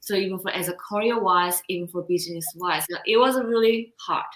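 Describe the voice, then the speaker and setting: Korean accented woman on a video call, bright voice, echoey room, tells her story with lots of feeling. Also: fairly low pitch. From South Korea. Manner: expressive, laughs often, halting non-native phrasing. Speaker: confidence coach, guest. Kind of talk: casual interview storytelling.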